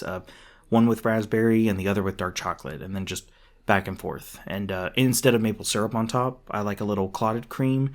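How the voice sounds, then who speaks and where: Guy playing a grumpy monster hunter w a soft side, lowish voice, kinda awkward and sweary, asmr roleplay. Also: natural voice. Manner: hesitant, casual, sweary, awkwardly softening. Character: gruff, defensive young male monster hunter, secretly caring. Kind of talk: intimate ASMR roleplay acting.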